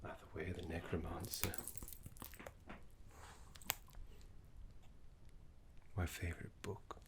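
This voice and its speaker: A man voicing a gentle, scholarly necromancy teacher in an ASMR roleplay, soft, breathy and half-whispered, with soothing, genteel phrasing. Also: low-pitched, noisy background. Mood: kindly, encouraging. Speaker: teacher guiding a student.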